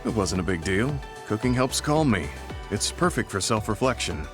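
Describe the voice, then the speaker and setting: Low-voiced weary old soldier, music behind. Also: male voice, sing-song. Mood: reflective. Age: older. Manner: earnest, apologetic, measured. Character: weary, remorseful veteran male soldier, humble and self-reproachful. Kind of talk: video game English voice acting. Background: game music.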